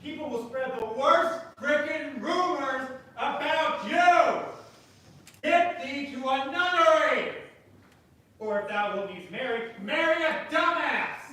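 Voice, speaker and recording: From far off in an echoey hall comes a man playing a ranting stage prince. His forceful, exasperated delivery mixes declaimed verse with modern cursing, bitter and theatrical throughout. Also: very high voice, slow, slight background noise, heavily acted. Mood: furious ranting. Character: actor character rehearsing Hamlet as a jealous, ranting prince. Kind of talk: stage play reading, theatrical.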